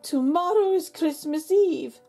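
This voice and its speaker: A woman's voice: very high voice, measured pace, creaky, mellow, soft background music, pitched above the performer's own voice, full character voice. A woman voicing a worried motherly village neighbour in a children's storybook, calling out urgently. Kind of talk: children's storybook read-aloud.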